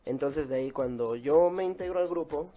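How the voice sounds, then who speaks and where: A man's voice: fairly high pitch, moderate pace, mellow, faint music behind. A man, chatting casually as an interview guest. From Mexico. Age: 20s. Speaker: interview guest, circus clown.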